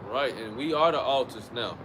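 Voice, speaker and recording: A man's voice: medium pitch, normal speed, a bit bright, low-quality recording. A man teaching outdoors by a street in a loose, conversational way, often restarting his phrases. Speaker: street preacher. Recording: outdoor traffic noise. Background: passing vehicles.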